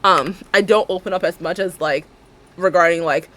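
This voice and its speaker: A young woman with a deep alto voice, chatting casually and rambling as she streams a building game, laughing often and talking to her viewers. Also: moderate pace, a bit bright, rough recording.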